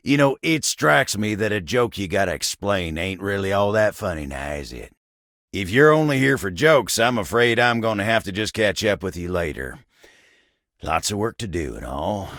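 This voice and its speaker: Game role: earnest, kindly country feller, gravel-voiced, unhurried American Southern delivery, recorded very dry. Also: male voice, fairly low pitch, fairly bright tone, no echo, full character voice. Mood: unimpressed, polite. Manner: folksy drawl, humble and earnest. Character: gentle, folksy living table, cowboy-like helper. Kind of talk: video game character voice acting.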